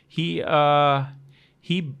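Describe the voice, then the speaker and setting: Middle-aged man, unhurried and halting, clean clear voice, casual interview guest. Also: on the high side, little pitch movement, fairly bright tone. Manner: halting, many ums and restarts. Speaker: guest, managing director.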